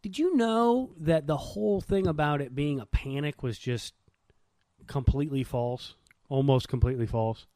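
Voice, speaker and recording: A man's voice: on the high side, moderate pace, dark tone, dry recording, studio quality. A man chatting casually as a podcast co-host, telling stories in an informal, slangy way. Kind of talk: casual chat.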